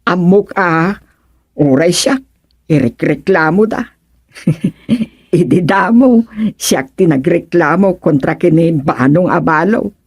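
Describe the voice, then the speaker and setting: A woman's voice: fairly low pitch, expressive, slow pace, darkish tone, slightly rough, no echo, studio quality, heavily acted. A woman with an Ilocano accent playing a chatty, gossiping neighbour in a radio comedy drama.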